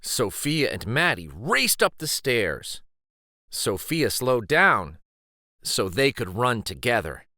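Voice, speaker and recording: A man reading a children's picture book aloud in an expressive, deliberate, emphatic storytime style. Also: mid-range voice, no room sound, clean studio sound. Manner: deliberate, animated, emphatic phrasing.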